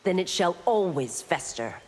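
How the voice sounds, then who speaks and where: A woman's voice: lowish voice, animated, normal speed, close to an everyday voice. A woman playing a proud, stern law officer of a fantasy realm in a fighting game, speaking firmly and formally. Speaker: video game fighter character. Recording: long reverb, loud game background noise.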